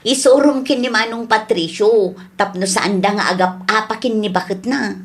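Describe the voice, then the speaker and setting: A woman's voice: medium pitch, normal speed, natural voice. A woman playing a chatty, inquisitive village woman who gossips about families, in a radio drama. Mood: confiding. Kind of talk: Ilocano radio drama acting.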